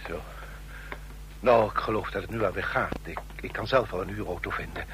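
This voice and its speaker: An actor playing a wily old double agent who poses as a frail salesman, with halting, stammering delivery, in a radio drama. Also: on the low side, fairly bright tone. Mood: polite, relieved. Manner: halting, stammering, breathy gasps. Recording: noisy old radio play recording.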